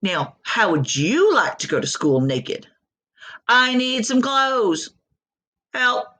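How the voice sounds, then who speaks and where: A woman's voice: a little high, very animated, slow, fairly bright tone, full character voice. A woman voicing an embarrassed crayon in a storybook, plaintive and pleading.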